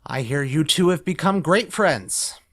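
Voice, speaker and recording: A man playing a principled, quietly firm community banker in a musical table read, speaking earnestly. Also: on the high side, animated, a little slow, husky, heavily acted.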